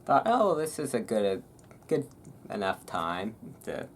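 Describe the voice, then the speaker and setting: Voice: mid-range voice, very animated, measured pace. A self-deprecating host chatting casually in a rambling way between bits of reading aloud for an ASMR audience, recorded at home. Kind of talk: casual ASMR chat around a book reading. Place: at home indoors. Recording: noisy room with thunderstorm ambience. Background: thunderstorm ambience, room noise.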